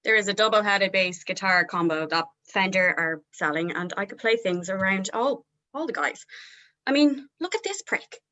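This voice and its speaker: A woman's voice: medium pitch, lively intonation, moderate pace, pushed and bright, muffled recording. A woman playing a foul-mouthed, sneering, combative heavy metal guitarist in a script read-through. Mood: scornful.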